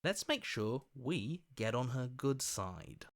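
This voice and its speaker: A man voicing a scheming, flattering opportunist in a video game, with an oily, ingratiating and theatrical delivery. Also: mid-range voice, takes it slowly. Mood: weaselly, agreeable.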